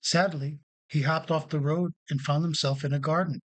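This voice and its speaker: A man's voice: a little high, fairly even intonation, normal speed. A man narrating a children's storybook as a read-along storyteller.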